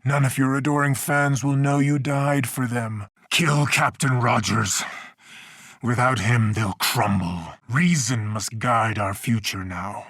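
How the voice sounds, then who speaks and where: Slow, grandiose supervillain mastermind. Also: male voice, mid-range voice, fairly even intonation, fairly bright tone, no echo, clean studio sound. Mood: cold menace. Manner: grandiose, deliberate, theatrical delivery. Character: male mutated mastermind villain, bitter, grandiose, righteous, menacing. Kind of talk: video game villain voice acting.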